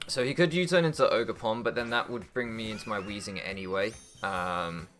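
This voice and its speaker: Young guy gaming youtuber, deepish bright voice, talking through his moves, faint music behind. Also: a little fast. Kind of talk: casual strategy game commentary, thinking aloud.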